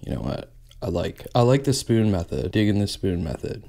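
A young man hosting a mukbang, chatting casually between bites at a table and speaking into a close mic. He talks in a relaxed way and says "like" often. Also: lowish voice, normal speed, gravelly. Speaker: mukbang host. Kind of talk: casual eating chat, mukbang ASMR.

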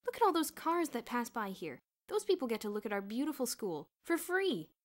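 A woman's voice: medium pitch, expressive, normal speed, lightly acted. A woman voicing a brash, scheming schoolboy in an audiobook story, eager, emphatic and insistent. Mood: excited.

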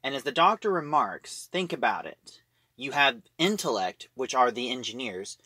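A man giving a casual spoken review and plot retelling, in a halting manner, piecing phrases together as he goes. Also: mid-range voice, big pitch swings, sharp tone, breathy edge, no echo. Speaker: audio drama reviewer.

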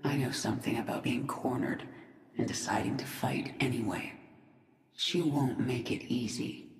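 A woman's voice: extremely low pitch, fairly even intonation, moderate pace, reverberant, whispered. An actress playing a determined, commanding queen of an alien swarm in a video game, speaking with controlled resolve. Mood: brooding. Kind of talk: video game cutscene acting.

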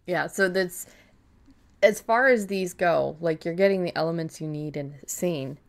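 A woman's voice: fairly low pitch, moderate pace. A woman hosting a podcast in casual, conversational chat. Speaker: podcast host.

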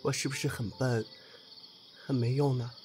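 A man's voice: medium pitch, little pitch movement, moderate pace, darkish tone, a little hiss or hum, close to an everyday voice. An actor in an audio drama playing a naive, proud young swordsman from the ancient past, earnest and courtly in his wording. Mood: wistful, self-blaming.

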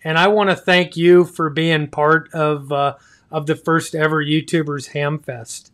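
A middle-aged man with a notably high voice hosts a live online chat. He speaks slowly and haltingly, with a courteous, casual manner toward his guests. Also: flat delivery, a bit bright. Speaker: live show host. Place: small home office, indoors.

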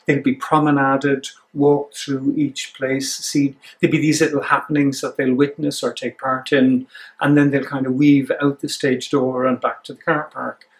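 An Irish man in his sixties, a theatre director, talking reflectively in an interview and pausing mid-sentence to find his words. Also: medium pitch, rough recording.

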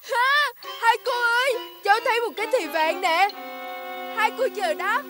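A woman voicing a tiny, polite and brave little boy in an animated fairy tale. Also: very high-pitched, normal speed, music in the background. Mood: urgent.

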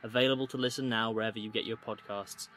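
Bright, low-pitched man announcing. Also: fairly even intonation, fast talker, a little hiss or hum. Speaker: trailer announcer. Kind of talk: scripted trailer narration.

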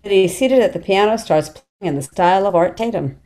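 A woman's voice: mid-range voice, expressive, a little fast, dark tone. A middle-aged woman reading stage directions as scripted narration over a video call. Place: small plain room at home, on video call.

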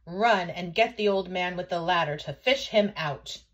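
A woman's voice: fairly low pitch, moderate pace, natural voice. Woman acting a stern, scolding storybook mother. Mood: exasperated. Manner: scolding, formal, emphatic. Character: stern, easily exasperated mother of two boys.

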